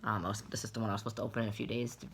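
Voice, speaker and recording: A man's voice: lowish voice, little pitch movement, very fast, vocal fry, fairly bright tone, a little hiss or hum. A young man in his twenties rambling casually to a webcam in a small bedroom, with many fillers and restarts. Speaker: vlogger. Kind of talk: casual personal vlog.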